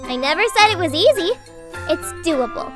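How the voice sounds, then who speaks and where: A woman's voice: extremely high pitch, lively intonation, moderate pace, music in the background. A woman playing a perky, upbeat young herbalist girl in a fan audio drama, earnest and encouraging. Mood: cheerful, encouraging.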